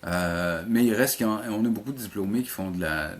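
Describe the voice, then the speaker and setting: Middle-aged male teacher, Quebec French accent, lower voice, conversational interview guest who explains in halting, repeated starts. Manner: hesitant, repeats words while explaining. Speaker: college animation teacher and programme coordinator, interview guest.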